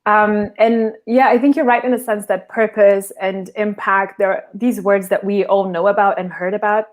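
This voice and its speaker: A woman's voice: mid-range voice, fairly even intonation, normal speed. A woman from Portugal, a guest in a remote interview, explaining her work in a thoughtful, casual way and often restarting her phrases.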